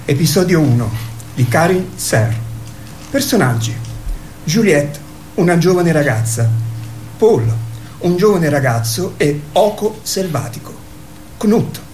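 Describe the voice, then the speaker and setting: A man's voice: on the high side, expressive, unhurried, pauses often, darkish tone. A man narrating a radio play in a theatrical, scripted style, reading out scenes and characters. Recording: roomy echo under music. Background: music.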